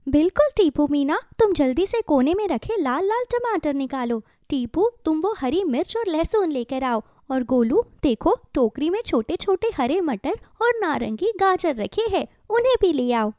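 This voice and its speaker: A kind cartoon mother bird voiced by a woman, high and lively in pitch. She sounds cheerful and encouraging, recorded dry and close. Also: normal speed, hardly any pauses, dry recording. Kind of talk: Hindi animated children's story dialogue.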